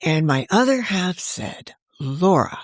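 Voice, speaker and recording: A woman's voice: on the low side, big pitch swings, slow pace, dry recording, clean studio sound. A woman in her sixties, a novelist guest, talking earnestly in a rambling, casual way with frequent restarts. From United States. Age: 60s. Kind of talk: casual interview conversation.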